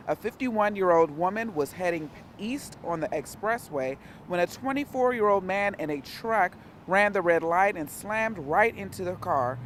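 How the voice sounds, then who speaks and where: A woman's voice: on the low side, slight background noise. A woman working as a local television reporter, reading scripted news in a broadcast reporting style.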